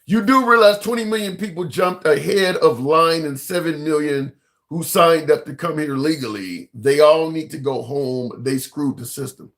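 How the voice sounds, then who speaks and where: A man's voice: a little high, normal speed, a bit bright. Rambling livestream host, a man. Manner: talks to viewers and callers directly, rambling and emphatic, swears casually. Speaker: livestream political call-in host. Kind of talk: casual call-in talk show.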